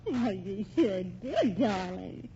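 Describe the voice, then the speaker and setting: A woman's voice: medium pitch, lively intonation, slow pace, mellow. An actress playing an indignant, melodramatic woman in an old-time radio drama. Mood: tart, defiant. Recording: muffled, noisy old radio recording.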